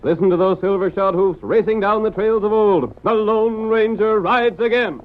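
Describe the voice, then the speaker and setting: A man's voice: sits high, moderate pace, close to an everyday voice. A man as a grand, declamatory American announcer narrating a western adventure in vintage radio style. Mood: stirring. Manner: dramatic, declamatory. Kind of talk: 1930s-40s radio announcing. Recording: muffled vintage radio recording with music.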